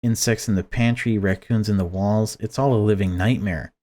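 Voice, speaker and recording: A man's voice: on the low side, steady tone, moderate pace, mellow, lightly acted. Visual novel mother read by a man: cruel, controlling, polite on the surface. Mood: falsely sweet, weary. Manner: polite excuses and curt commands. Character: cruel controlling mother, falsely sweet to outsiders.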